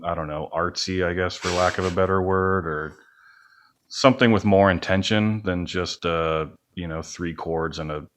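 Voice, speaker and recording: A man's voice: deep voice, steady tone, normal speed. A middle-aged man being interviewed on a podcast as a musician, talking casually in a rambling, hedging, self-effacing way. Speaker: podcast guest, band musician and studio owner.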